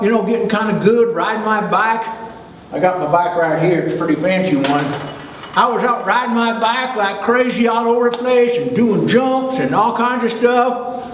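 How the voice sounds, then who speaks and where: Man as excitable country boy, high voice, folksy and rambling, boastful but sweet, kids' storytime, distant echoey recording. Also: a little hiss or hum, lightly acted. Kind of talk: children's Bible storytime. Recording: echoey hall, distant microphone.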